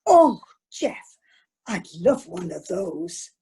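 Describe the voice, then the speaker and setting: A woman playing a spoilt, wheedling wife with a husky voice in an amateur radio comedy. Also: fairly low pitch, very animated, extremely slow, warm tone, heavily acted. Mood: gushing, wheedling. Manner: gushing, pointed, complaining.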